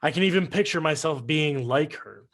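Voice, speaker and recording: A man playing a reflective son who recites his journal in a staged play reading, measured and deliberate, over a video call. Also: on the high side, a bit bright. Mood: earnest, musing.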